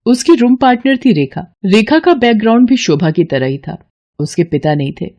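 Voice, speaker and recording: A woman's voice: mid-range voice, big pitch swings, normal speed, dark tone, lo-fi sound. A woman reading a scripted, dramatic story as its narrator.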